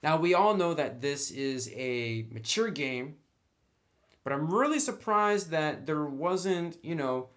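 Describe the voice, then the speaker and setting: A man's voice: fairly high pitch, expressive, slow pace, fairly bright tone. A man reviewing in a casual, conversational and opinionated way, speaking informally like a hobby commentator. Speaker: tabletop game book reviewer.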